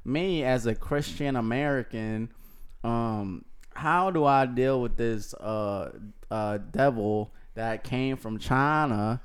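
A man's voice: medium pitch, slow pace, clean tone, close to an everyday voice. A man voicing a bigoted Southern caller to a radio show in a put-on mixed Southern accent, hesitant and drawn out. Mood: complaining.

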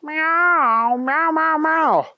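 A man doing a high mewing cat. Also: very slow, dull audio, full character voice. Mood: plaintive.

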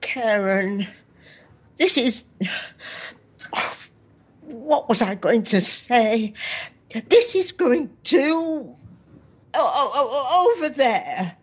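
An actress playing a frail elderly woman losing her memory, husky and faltering, trailing off mid-sentence. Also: a little high, animated, extremely slow, long pauses, full character voice. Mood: pleading. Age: older.

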